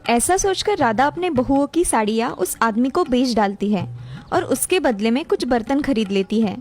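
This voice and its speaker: A woman's voice: a little high, lively intonation, normal speed, darkish tone. A woman narrator reading a scripted moral story for a cartoon. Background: music.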